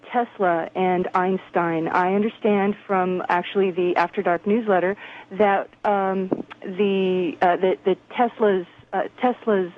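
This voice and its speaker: Female American phone-in caller, thin narrow-band line, average pitch, hesitantly posing a listener question. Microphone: telephone.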